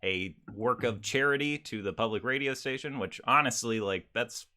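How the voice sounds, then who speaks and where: A man's voice: medium pitch, normal speed, sharp tone. A man speaking casually and enthusiastically as co-host of a podcast.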